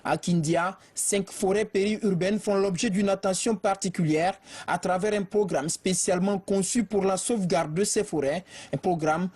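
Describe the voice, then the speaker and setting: A male news reporter with a high voice reads in a steady, formal way. His voice has a husky edge. The reading is even and unhurried. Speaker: field reporter.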